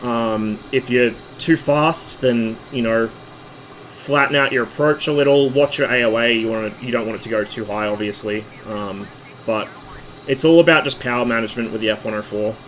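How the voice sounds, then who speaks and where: A hoarse man, losing his voice, casually talking through a flight-sim stream in a relaxed, rambling way. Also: medium pitch, normal speed, a little hiss or hum, rough recording. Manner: relaxed, rambling, with fillers and asides.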